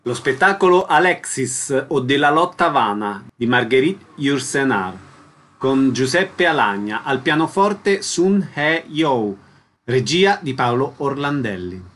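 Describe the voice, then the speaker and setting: Male radio host, medium pitch. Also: very animated, slow, fairly bright tone. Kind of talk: radio arts programme presenting and interviewing.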